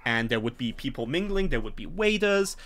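Middle-aged male game master, mid-range voice, animated explanatory narration over a video call. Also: medium pitch, a little fast. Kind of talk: explanatory tabletop scenario narration. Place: small home room, on a video call.

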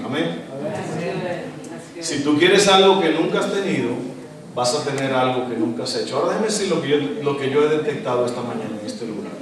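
A middle-aged Dominican man with a Dominican Spanish accent, preaching a sermon as guest pastor, animated and asking the congregation questions. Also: mid-range voice, brisk, far from the mic, crowd noise. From Dominican Republic. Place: church stage, indoors, large hall. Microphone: handheld mic. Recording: echoey hall, recorded from afar.

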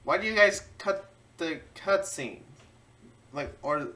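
A woman's voice: lowish voice, lively intonation, slow pace, distant mic, noisy background. A woman hosting a casual reaction video, commenting over what is playing.

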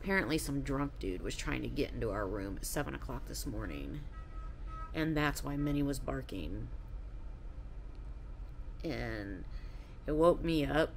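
A woman's voice: extremely low pitch, expressive, a little slow, vocal fry, long pauses, slight background noise. Tired rambling woman vlogging in bed. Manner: rambling, tired, laughs often. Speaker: travel vlogger. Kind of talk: casual vlog chat to camera. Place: hotel room, then a car. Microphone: phone or camera at arm's length.

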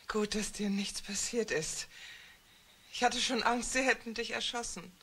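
An actress playing a scheming middle-aged wife who speaks in a nervous, shrill, pleading way in a radio play. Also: female voice, fairly even intonation, measured pace, fairly bright tone, slightly rough. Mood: anxious.

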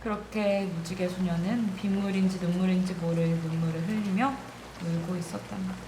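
A woman narrating a children's bedtime story in an expressive storyteller's style. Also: fairly low pitch, a little fast, background noise. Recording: roomy echo, music underneath.

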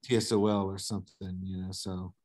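A man's voice: deep voice, flat delivery, fairly quick, a little mellow. A middle-aged man from the southern United States, a guest on a video call, telling stories in a laid-back way and swearing casually. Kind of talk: casual interview storytelling.

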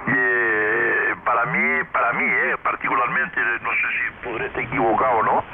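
Male ham radio operator, effusive and fond of tag questions, fry in the voice, noisy radio link. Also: mid-range voice. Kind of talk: casual radio chat. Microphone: radio microphone. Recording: crackly narrowband radio transmission, clear noise.

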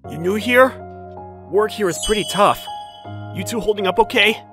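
An actor playing a friendly, frail young debt laborer, gentle and resigned, in an anime dub. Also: male voice, high voice, normal speed, soft background music. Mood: resigned, kindly.

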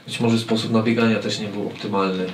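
A football coach in his forties answers reporters in a halting way, stumbling over and repeating his words. His voice sits a little low. It comes from a table mic a short way off, in an echoey press room with faint noise. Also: flat delivery, recorded from a distance. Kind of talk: post-match press conference. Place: press room with sponsor wall. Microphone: table mic on stand.

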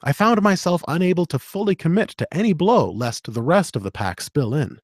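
A man's voice: a little high, brisk, no room sound, studio quality. A man in his thirties with a slight Canadian accent, reading an action novel aloud and then chatting about narration. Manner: steady dramatic reading, chatty and laughing in talk. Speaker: audiobook narrator and host. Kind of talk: audiobook narration, then casual chat. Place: small home studio with dark drapes. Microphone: studio condenser mic with headphones.

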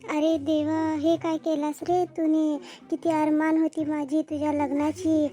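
A woman's voice: high voice, little pitch movement, unhurried, very noisy. A woman voicing a greedy, quarrelsome middle-aged mother-in-law in a comedy cartoon, with melodramatic scolding. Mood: dismayed, wailing.

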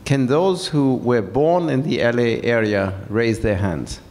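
Low-voiced guy from france chatting on stage, lots of ums, echoey hall w faint hiss. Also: expressive, unhurried, darkish tone. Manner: hesitant, many fillers. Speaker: guest speaker. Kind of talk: onstage conversation. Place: large event hall with seated audience. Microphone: handheld mic.

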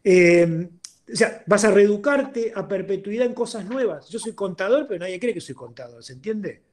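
An older man with an Argentine accent speaks in a strikingly high voice for a man. He talks informally and emphatically, like a seminar guest giving his views over a video call. He often stops to check that he is being understood. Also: lively intonation, moderate pace, a little mellow. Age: 60s. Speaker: interviewed guest, accountant turned entrepreneur. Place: indoor room at home, video call.

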